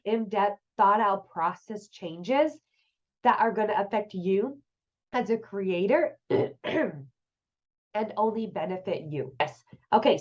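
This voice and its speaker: A woman's voice: medium pitch, highs cut off. A woman host with a congested, nasal voice from a cold, giving chatty, upbeat business tips straight to her viewers. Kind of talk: casual online business tips.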